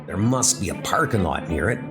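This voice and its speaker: A man's voice: deep voice, expressive, brisk, music in the background. A man playing a scheming, tough-talking escaped convict in a horror comic dub. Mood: scheming, cocky.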